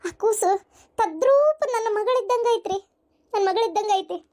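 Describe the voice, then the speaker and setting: A woman voicing a soft-hearted, hesitant grandmother who coaxes her husband in a cartoon family drama, with a North Karnataka accent. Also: very high voice, animated, normal speed. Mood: coaxing, earnest. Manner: coaxing, hesitant.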